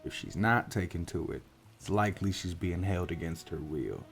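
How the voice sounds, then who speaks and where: A man voicing a gruff, world-weary orc private investigator in a soft-spoken roleplay, with a folksy, wry drawl. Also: deep voice, normal speed, faint music behind. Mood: serious, explaining. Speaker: voice actor in roleplay audio.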